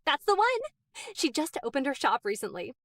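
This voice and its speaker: An actress playing an excitable young woman gossiping in an anime game, bubbly and amused in manner. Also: high-pitched, fairly quick, no room sound, studio quality, heavily acted. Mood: amused, excited. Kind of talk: English anime game voice acting.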